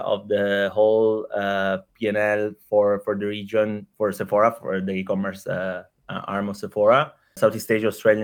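Italian guy, kinda low voice, lots of uh and repeating words while he thinks. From Italy. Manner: hesitant, frequent fillers and repeated words. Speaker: startup executive guest. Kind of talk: casual interview conversation.

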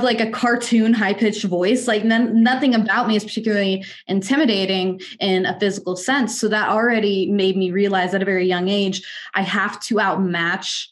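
A twenty-year-old woman from Kansas City, a music artist and executive, telling her story in an interview with earnest, articulate emphasis. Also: mid-range voice. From Kansas City, United States. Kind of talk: candid conversational storytelling.

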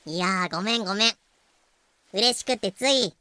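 A woman playing a brash, easily flustered pirate crew member with a casual, excitable manner in an audio drama. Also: medium pitch, moderate pace, smooth, no room sound, close to an everyday voice. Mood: cheerful. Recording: music bed behind voice.

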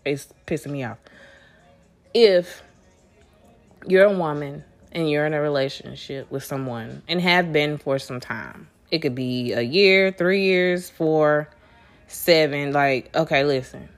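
A woman's voice: sits low, measured pace. A woman hosting a casual solo podcast, talking bluntly with lots of swearing, restarts and a stuffy, congested nose. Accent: Southern US. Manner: blunt, profane, rambling with restarts. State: congested from allergies. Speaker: podcast host.

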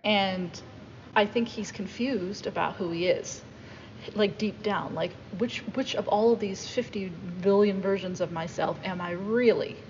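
A woman's voice: mid-range voice, measured pace, darkish tone, in a large hall, highs cut off, a little hiss or hum. A woman co-hosting a casual talk show, thinking aloud and hedging her opinions. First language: not Korean. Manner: thoughtful, hedging. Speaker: podcast co-host. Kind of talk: casual discussion of song lyrics.

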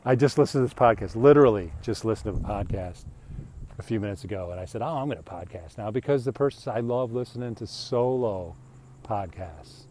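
A man's voice: fairly low pitch, animated, a little fast, a little mellow, slight background noise. A man in his fifties talking casually on a solo podcast, rambling and self-deprecating, often tossing in "right?". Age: 50s. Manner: chatty, self-deprecating, frequent "right?" asides.

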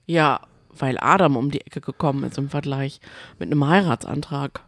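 Woman with a low voice, chatting casually. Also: moderate pace, no room sound, clean studio sound. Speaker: podcast co-host. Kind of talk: casual chat about reality TV.

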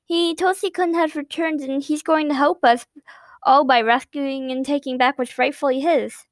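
A woman's voice: high voice, steady tone, normal speed, no echo, close to an everyday voice. A woman voicing a cheerful, innocent young boy who speaks sweetly and reassuringly.

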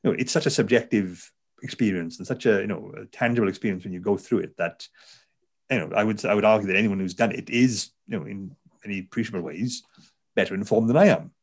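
Welsh male author, lower register, conversational interviewee, lots of restarts. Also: fast. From South Wales. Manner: chatty, frequent fillers and restarts. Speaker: author, neuroscientist.

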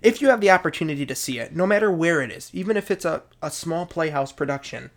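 A man's voice: fairly high pitch, lively intonation, fast talker. A man co-hosting a casual podcast, chatting eagerly and repeating words for emphasis. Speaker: podcast co-host. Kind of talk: casual chat.

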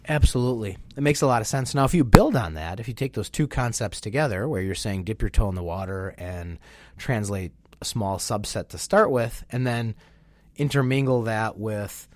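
A man co-hosting a business webinar, slightly nasal and congested from a sinus infection, talking in an animated, enthusiastic way with lots of "you know"s. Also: lowish voice, moderate pace, slight background noise. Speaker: webinar co-host. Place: Chicago.

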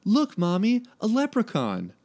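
A man voicing an excited little girl in a story read aloud, with a childlike manner. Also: high-pitched, a little slow, pitched above the performer's own voice, heavily acted.

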